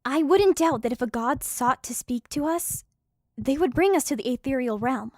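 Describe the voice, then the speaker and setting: A woman acting as a young female fantasy adventurer. Her voice is high and polite, with a reasoning, matter-of-fact manner, recorded dry for an audio drama. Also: lively intonation, normal speed, darkish tone, no room sound. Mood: reasoning, uneasy. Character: Nyla, level-headed, gently doubting young female adventurer.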